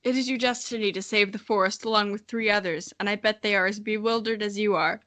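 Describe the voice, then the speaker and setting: A woman's voice: medium pitch, steady tone, fast talker, pushed and bright, dry recording, close to an everyday voice. Woman reading as a solemn shadowy wolf guide. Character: mysterious shadowy wolf spirit, calm prophetic guide.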